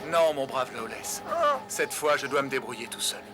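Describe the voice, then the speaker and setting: A man voicing a brave, earnest young knightly hero in a French animated adventure dub, speaking formally and resolutely. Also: medium pitch, normal speed, music in the background, natural voice.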